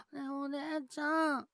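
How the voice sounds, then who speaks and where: Woman voicing a very high-voiced, coughing little boy. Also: a bit bright, no room sound, studio quality, exaggerated voice. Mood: pleading. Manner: pleading, sulky childish talk with frequent coughing. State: coughing, sick with a cold. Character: cheeky little boy with a cold, clinging to his older brother. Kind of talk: Japanese situation voice drama.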